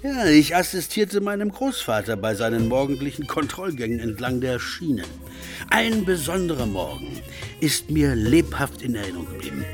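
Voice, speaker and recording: A man's voice: medium pitch, very animated, normal speed, music in the background, natural voice. An actor playing an effusive, gallant male railway engineer in a satirical radio play, gushing in florid, formally polite phrases. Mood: earnest reminiscing.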